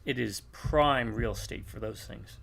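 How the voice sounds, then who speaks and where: A man's voice: lowish voice, unhurried, vocal fry, a bit bright. A man chatting casually while gaming, telling a long rambling story and acting out bits of dialogue. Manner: rambling storytelling, acts out quotes. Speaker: gamer co-op player.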